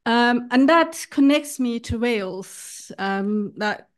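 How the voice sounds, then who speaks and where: A woman's voice: fairly high pitch, takes it slowly. Hesitant Kurdish woman introducing a musician. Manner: hesitant, with frequent fillers and restarts. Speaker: event speaker introducing a musician. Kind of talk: informal spoken introduction at a literary event. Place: live public event.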